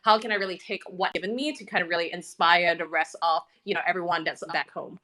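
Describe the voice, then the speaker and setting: A Singaporean woman in her thirties talks quickly and eagerly, her speech full of "you know" and "like". Her voice is bright and forceful, sitting a little low for a woman. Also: fairly even intonation. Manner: fast, filler-heavy, enthusiastic. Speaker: podcast guest, entrepreneur. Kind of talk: casual interview talk.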